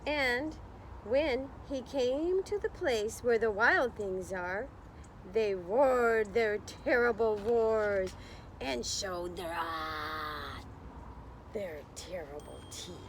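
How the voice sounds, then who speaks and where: A woman's voice: mid-range voice, drawn-out words, slight background noise, poor audio. A woman reading a picture book aloud to young children, expressively, with encouraging, chatty explanations along the way. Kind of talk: slow children's read-aloud with chatty asides to listeners.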